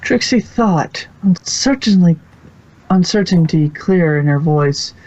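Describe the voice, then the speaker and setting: A narrator reading fan fiction aloud in a halting way, stumbling now and then over the text. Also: mid-range voice, expressive, darkish tone. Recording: dull lo-fi recording.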